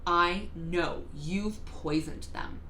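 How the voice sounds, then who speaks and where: A woman's voice: fairly low pitch, drawn-out words, pushed and bright, full character voice. A woman voicing a defiant young storybook girl who is scornful, accusing and insistent. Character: defiant, loud young girl confronting a witch. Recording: roomy echo with clear background noise.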